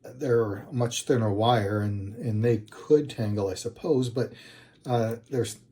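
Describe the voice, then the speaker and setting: A man giving a casual, unscripted product review, speaking in a halting, hesitant way and often correcting himself. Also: medium pitch, dark tone, a little hiss or hum. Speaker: product reviewer, channel host.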